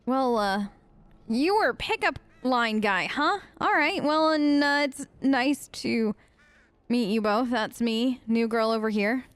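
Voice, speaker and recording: A woman's voice: on the high side, expressive, takes it slowly, no room sound. A woman role-playing in a video game let's play, casual and wry with a hesitant, improvised delivery.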